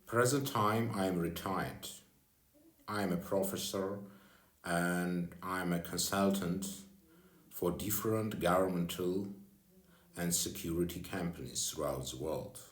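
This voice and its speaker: A retired older man addresses his audience in careful, non-native English with an Armenian accent. His voice sits very low and he takes his time, pausing and searching for words. The room around him rings with noticeable echo. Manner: slow, halting non-native English with pauses and fillers. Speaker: retired official addressing followers. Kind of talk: direct address to camera.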